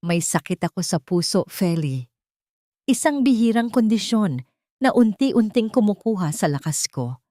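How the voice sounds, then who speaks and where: A woman's voice: mid-range voice, sing-song, unhurried, dark tone, no room sound, clean studio sound, pitched above the performer's own voice. A woman voicing a sickly, bitter and determined man in a romantic story drama.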